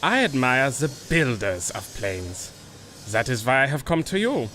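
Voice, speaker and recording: An actor playing a suave, flattering flying ace, grandiose and formal, in a pulp audio drama. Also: male voice, medium pitch, unhurried, a little hiss or hum. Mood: suave, probing. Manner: smooth-talking, grandiose, formal.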